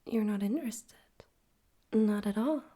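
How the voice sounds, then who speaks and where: A woman voicing a suave, amused, menacing male demon overlord in a breathy whispered ASMR roleplay, slow and condescending. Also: medium pitch, dark tone, lightly acted. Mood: teasing, condescending. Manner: slow, condescending, teasing, deliberate.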